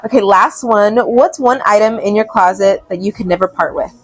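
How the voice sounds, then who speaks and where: A woman's voice: mid-range voice, normal speed, rough recording. A woman hosting a casual interview podcast in a cafe, encouraging her guest with short affirmations. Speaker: podcast host. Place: cafe and lounge.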